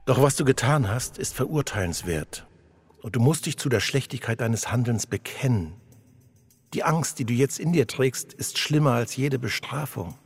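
A solemn judge played by a man addresses the accused in a radio drama. The voice is gravelly, measured and admonishing, with faint noise behind it. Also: mid-range voice, expressive, natural voice. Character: stern, solemn male judge in an imagined childhood trial. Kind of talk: formal courtroom oration in a radio play.

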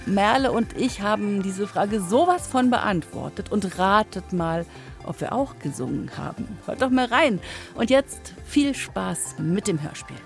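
A woman's voice: medium pitch, lively intonation, a little slow, creaky, soft background music. A woman presenting informally to children in a chatty manner, as on a kids' podcast.

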